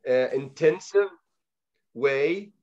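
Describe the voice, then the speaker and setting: A man's voice: mid-range voice, a little mellow. Egyptian guy teaching over a call, arabic accent, lots of uh and repeats, muffled lo-fi sound. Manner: halting, many fillers and repeated words. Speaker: language teacher. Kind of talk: online training talk.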